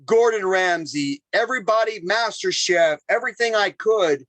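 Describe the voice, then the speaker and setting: A man, a chef, telling stories in a casual, animated interview with lots of repeated phrases. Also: sits high, moderate pace, no room sound. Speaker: chef and podcast guest.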